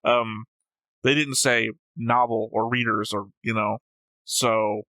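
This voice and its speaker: A man's voice: on the low side, little pitch movement, takes it slowly, bright, forceful tone, no echo, poor audio. A man chatting casually as a reaction show co-host, rambling through his points and arguing them out. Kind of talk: casual discussion of an anime.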